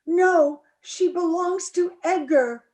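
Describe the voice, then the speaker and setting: A woman's voice: very high voice, takes it slowly, darkish tone. An actress playing a jealous, desperate young woman with melodramatic, emotional delivery in an old-time radio drama. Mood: spiteful, desperate. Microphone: recorded remotely over video call.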